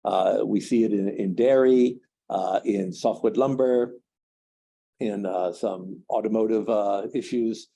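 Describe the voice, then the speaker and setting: Casting note: man, low and very dark tone, slightly husky, hesitant seminar speaker full of "uh" and restarts. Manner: halting, many fillers and restarts. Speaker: trade specialist speaking at a seminar. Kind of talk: seminar talk, answering questions.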